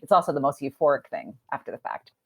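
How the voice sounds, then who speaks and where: A woman telling stories as a podcast guest, animated and quick to laugh. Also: very deep voice. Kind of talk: casual chat.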